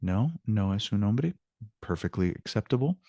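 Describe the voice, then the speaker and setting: Low-voiced man teaching a language class. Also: lively intonation, moderate pace, warm tone, dry recording. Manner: informal, encouraging, switches between English and Spanish. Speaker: Spanish teacher. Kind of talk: online language lesson, explaining examples. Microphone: video call.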